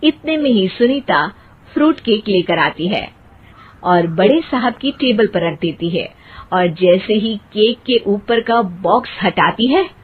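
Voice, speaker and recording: A woman's voice: mid-range voice, lively intonation, measured pace, husky. A woman narrating a scripted children's cartoon story. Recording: muffled, narrow phone-like sound.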